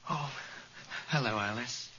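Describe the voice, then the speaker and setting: A man acting a gentle, childlike young man in a 1940s radio drama, with a stammering, drowsy delivery. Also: medium pitch, a little slow, noisy background, close to an everyday voice. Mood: drowsy, confused. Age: 20s. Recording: dull old radio recording.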